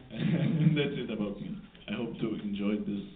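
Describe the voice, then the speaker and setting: A man's voice: fairly low pitch, measured pace, slight background noise. A young man from Zagreb introducing himself casually, with self-deprecating humor. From Zagreb, Croatia. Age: young adult. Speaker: technical chair. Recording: echoey hall, tinny.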